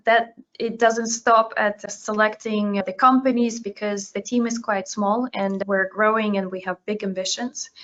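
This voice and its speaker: Woman, native Russian speaker, Ukrainian-born, fund manager guest. Measured and explanatory, flat intonation, dull lo-fi sound. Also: medium pitch. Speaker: podcast guest, portfolio manager. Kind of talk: interview answers. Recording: dull lo-fi remote recording.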